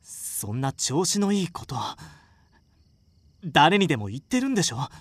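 A woman's voice: deep voice, big pitch swings, moderate pace, husky, dry recording, heavily acted. A woman playing a prim, flustered woman in an audio drama, speaking politely but scolding with indignation. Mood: doubtful, flustered. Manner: polite, scolding formal speech. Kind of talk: scripted audio drama acting.

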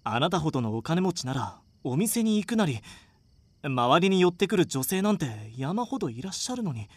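A woman's voice: sits low, big pitch swings, moderate pace, darkish tone, slightly rough, exaggerated voice. A woman playing a prim, flustered woman in an audio drama, speaking politely but scolding with indignation. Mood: indignant. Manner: polite, scolding formal speech. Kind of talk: scripted audio drama acting.

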